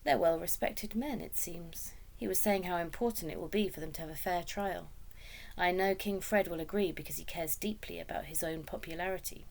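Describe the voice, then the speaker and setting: A woman's voice: on the low side, a little fast, gravelly, a little mellow, rough recording. A woman voicing a kind, clever noblewoman in a storybook, polite and careful, brave under nervous strain. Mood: careful, anxious.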